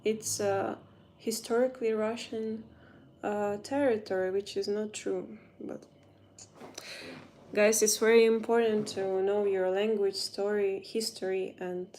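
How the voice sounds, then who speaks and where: A young woman from Ukraine with a Ukrainian accent, chatting casually in English on a phone livestream and often pausing to search for words. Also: mid-range voice, level tone, mellow, slight background noise. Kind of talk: casual livestream chat while doing makeup.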